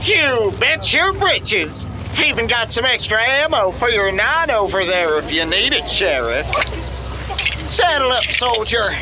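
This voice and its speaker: An actor playing a boisterous, bossy country posse leader with a Southern US accent, hollering in folksy, hammy audio drama style. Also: male voice, very high-pitched, very animated, unhurried, noisy background, exaggerated voice. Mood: cocky, friendly.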